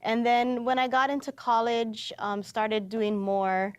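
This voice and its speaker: A woman's voice: a little high, little pitch movement, unhurried, no room sound. A middle-aged woman from Hawaii, an educator, telling her story as a studio interview guest. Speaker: guest, educator and canoe captain. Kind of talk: recounting personal story in interview.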